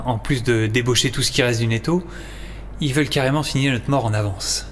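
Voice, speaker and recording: A man's voice: medium pitch, a little fast, slight background noise, close to an everyday voice. A man playing an earnest, self-dramatizing infiltrator and loyalist in an audio comedy drama, emphatic and theatrical. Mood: grave.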